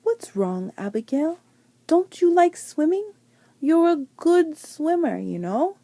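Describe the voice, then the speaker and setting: A woman's voice: high voice, big pitch swings, slow, dark tone, dry recording, voice pushed up, exaggerated voice. A woman voicing an encouraging, patient male swimming teacher in a storybook, coaxing and reassuring with emphatic delivery.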